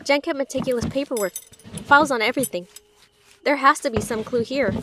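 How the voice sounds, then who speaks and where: A woman's voice: high-pitched, fairly quick, a bit bright, slight background noise. A young woman playing a troubled, determined heroine in a comic dub, with an earnest, intense delivery. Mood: subdued, determined. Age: young adult. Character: troubled, determined young woman searching her father's secrets. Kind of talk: animated comic dub acting.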